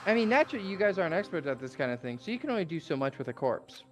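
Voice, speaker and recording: A woman's voice: on the low side, sing-song, racing, music in the background. A woman voicing a smug, mocking, murderous robot teddy bear mascot from a visual novel, mock-cheerful and taunting. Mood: explanatory. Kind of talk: amateur dramatic reading of a visual novel.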